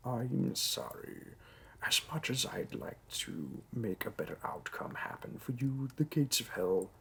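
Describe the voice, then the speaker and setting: A man voicing a demon interviewer in a roleplay audio drama, dry and condescending with mock sympathy, in a low gravelly voice. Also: animated, measured pace, fairly bright tone, full character voice. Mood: condescending pity. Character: bureaucratic male demon interviewer, disappointed, politely wicked.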